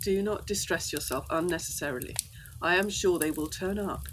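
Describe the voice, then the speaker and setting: An actress playing an earnest, resolute young gentlewoman in a gothic audio drama, speaking formally and with conviction. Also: lowish voice, a little hiss or hum, close to an everyday voice, rough recording. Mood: calm certainty. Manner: formal, measured, full of conviction.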